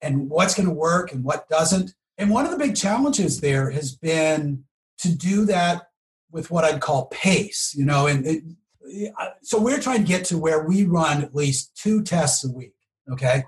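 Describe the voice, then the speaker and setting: A man explaining things conversationally as an interview guest, emphatic and often checking in with a quick "okay?" or "right?". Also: a little high, expressive. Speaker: podcast guest, marketing professional.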